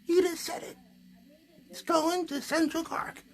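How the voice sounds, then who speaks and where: Man doing a squeaky, sassy little girl puppet. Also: flat delivery, unhurried. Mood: bossy, teasing. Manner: sassy, blunt, teasing. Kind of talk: children's puppet show.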